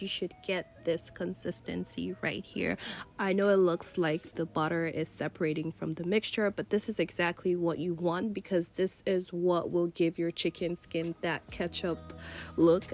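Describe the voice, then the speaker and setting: Casting note: woman from Nigeria, lowish voice, stuffy and congested, chatty cooking voice-over, soft music underneath. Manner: chatty, relaxed, many fillers like "you know". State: congested from a cold. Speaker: cooking channel host. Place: voice-over recorded separately from the kitchen footage.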